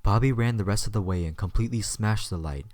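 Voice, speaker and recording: A man's voice: fairly low pitch, fast talker, a little mellow, no room sound. A man narrating a scripted story as the narrator of an audio drama.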